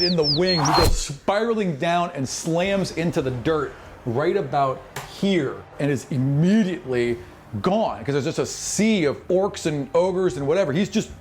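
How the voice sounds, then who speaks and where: A man's voice: a little high, lively intonation, measured pace, background noise. A man improvising tabletop game narration as game master in a casual, colloquial way. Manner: colloquial, acts out sound effects. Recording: music behind the voice. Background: music.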